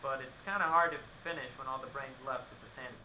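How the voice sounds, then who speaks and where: A man's voice: medium pitch, fast talker, close to an everyday voice. A man acting in a radio play as an exasperated, condescending witness to a tech disaster. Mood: candid, weary. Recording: echoey, clearly noisy location recording.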